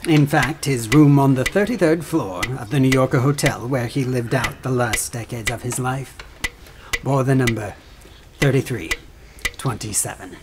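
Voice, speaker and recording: An actor playing a sarcastic, pompous prosecuting attorney in a courtroom audio drama, with oratorical, scornful delivery. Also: male voice, a little high, lightly acted. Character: sneering male prosecuting attorney, theatrical and scornful. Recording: clearly noisy track with clicks.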